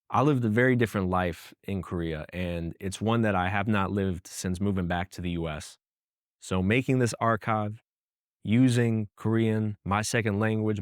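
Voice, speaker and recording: A young man from the United States narrates in an earnest, conversational way, with casual asides. His voice sits low. The recording is dry and close, with no room sound. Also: no echo. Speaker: archive founder and host. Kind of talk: scripted voice-over narration.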